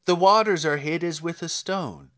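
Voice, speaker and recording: A man's voice: on the high side, moderate pace, a bit bright, close to an everyday voice. A man reading scripture as a stern, commanding God, declaiming solemn rhetorical challenges in archaic English.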